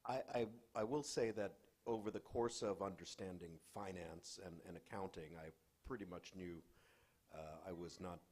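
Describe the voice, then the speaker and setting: An American man from the New York area, a panelist giving an unscripted, halting, self-deprecating personal introduction. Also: sits low, steady tone, a little mellow. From New York area, United States. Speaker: panelist, finance professional.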